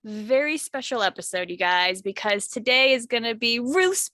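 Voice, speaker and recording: A young woman hosting a casual podcast, upbeat and encouraging, chatting warmly with her co-host. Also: fairly high pitch, lively intonation, moderate pace, very noisy.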